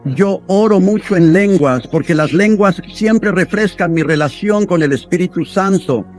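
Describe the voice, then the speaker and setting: High-voiced man preaching a sermon. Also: unhurried, faint music behind. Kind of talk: dubbed sermon voice-over.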